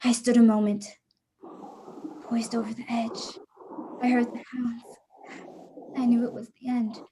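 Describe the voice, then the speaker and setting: An actress playing a hunted adventurer in a radio drama, telling of the escape with suspense and defiance. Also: female voice, fairly high pitch, flat delivery, a little slow, background noise. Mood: tense, desperate. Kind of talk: first-person radio drama narration.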